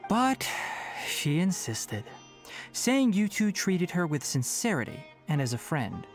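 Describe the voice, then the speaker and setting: An actor playing a calm, scholarly young male forest ranger in a video game, speaking in an articulate, measured way. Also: sits high, heavily acted. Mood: earnest, measured. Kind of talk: scripted video game dialogue. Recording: music behind the voice.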